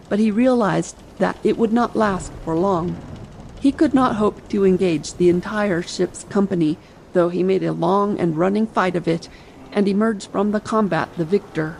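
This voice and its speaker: A woman's voice: mid-range voice, normal speed, creaky, rough recording. A woman reading an adventure novel as a dramatic, scripted audiobook narration. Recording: faint ambient noise behind. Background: soft sea ambience.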